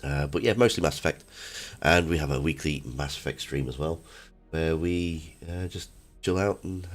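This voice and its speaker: Male streamer, very deep and croaky with a cold, easygoing and full of pauses. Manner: laid-back, halting with frequent "uh" fillers. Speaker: gaming streamer host. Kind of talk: casual live-stream chat.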